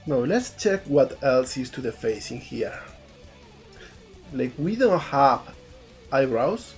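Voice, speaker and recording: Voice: mid-range voice, sing-song, slow pace, soft background music. A speaker from Spain with an Andalusian accent and Spanish as a first language, chatting casually on a live stream while working and thinking aloud, often repeating words. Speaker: game developer streaming his art.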